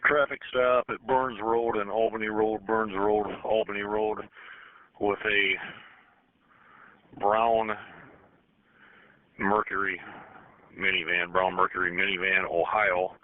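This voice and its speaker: Low-voiced patrolman on a narrow police radio. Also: little pitch movement, takes it slowly, pauses often, no room sound. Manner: clipped procedural phrasing, spells words out. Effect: two-way radio.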